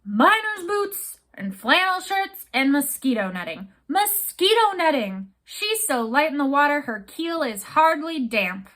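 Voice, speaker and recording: A woman's voice: sits high, very animated, a little slow, hardly any pauses, fairly bright tone, voice pushed up, exaggerated voice. A woman doing a bellowing old sea captain. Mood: furious. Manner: roaring, bellowing. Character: gruff blustering old male ship captain.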